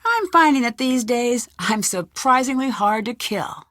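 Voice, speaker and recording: Young American woman, game detective, inquisitive, earnest, highish pitch, dry studio sound. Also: lively intonation, measured pace, a bit bright, dry recording. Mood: curious, probing. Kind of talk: video game voice acting, narrated letter and dialogue.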